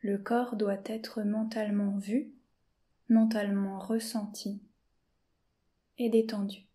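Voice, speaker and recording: Slow, soft-spoken woman guiding meditation. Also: medium pitch. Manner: slow, soft-spoken and calm, with long pauses; often drops to a whisper. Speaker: yoga nidra teacher. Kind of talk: guided meditation.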